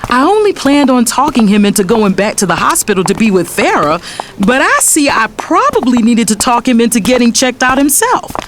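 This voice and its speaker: An actress playing a fiery, exasperated lawyer and big sister, speaking emphatically in a dramatic audio story. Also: female voice, a little high, big pitch swings, brisk, background noise. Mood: narrating, annoyed. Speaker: audiobook narrator. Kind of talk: dramatic first-person story narration.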